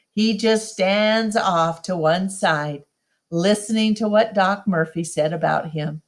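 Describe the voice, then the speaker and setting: Slow-paced woman reading aloud. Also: mid-range voice. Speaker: storyteller reading a children's novel aloud. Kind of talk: read-aloud narration.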